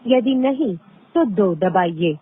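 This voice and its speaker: A woman reading scripted automated phone prompts in a formal, measured way with deliberate pauses, heard over a recorded phone call. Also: mid-range voice, lively intonation, breathy edge. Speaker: automated phone line announcer. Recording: tinny band-limited phone line recording.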